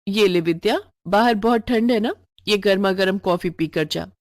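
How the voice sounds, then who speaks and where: A woman plays a well-off madam of the house in a storybook tale. She is bossy and demanding, with a brisk, commanding manner and a dry sound. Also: medium pitch, a little mellow, no room sound, close to an everyday voice. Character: well-off office-going female employer, bossy and demanding. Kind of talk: moral storybook voice acting.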